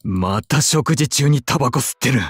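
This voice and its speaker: An effeminate, fussy young man in a Japanese visual novel. He chatters quickly in expressive, feminine phrasing and gets excitable. The recording is dry and close. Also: medium pitch, slightly rough, no room sound. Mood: indignant, playful. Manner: feminine speech, expressive, nagging.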